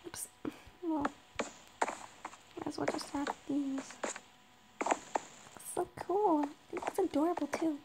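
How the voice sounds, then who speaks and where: A woman with a slightly raspy voice, chatting casually to herself while she builds in a roleplay game, hesitant with lots of pauses. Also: sits high, fairly even intonation. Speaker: Minecraft roleplay player. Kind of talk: casual gameplay narration. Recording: noisy background.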